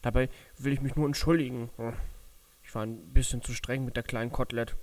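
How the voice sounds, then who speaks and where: A man's voice: medium pitch, fairly even intonation, a little fast, a little mellow, close to an everyday voice. A man playing a remorseful grown male sheep who mutters and grumbles to himself in a children's audio drama. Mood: regretful.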